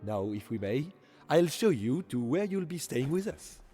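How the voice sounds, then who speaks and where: Concierge, male reader as effusive, formal Frenchman with French accent; audiobook dialogue, very animated intonation. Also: medium pitch, normal speed, slightly rough, soft background music, voice pushed up. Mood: courteous, welcoming. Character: courteous, eager French male hotel concierge.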